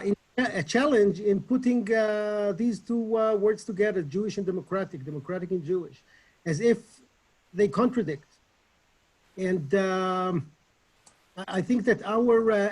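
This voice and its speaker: A middle-aged man with an Israeli accent giving an informal online lecture from home over a video call, speaking haltingly with many pauses and restarts. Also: high-pitched, darkish tone, poor audio. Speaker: online session presenter.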